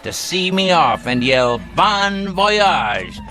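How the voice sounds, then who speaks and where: A bitter, sardonic old patriarch near death in a radio drama. He speaks slowly and grandly, with sweeping swings of pitch, biting off his words like commands. Music plays behind him. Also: very animated, bright, forceful tone, exaggerated voice. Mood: bitter, scornful. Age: older. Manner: theatrical, deliberate, biting, grandiloquent.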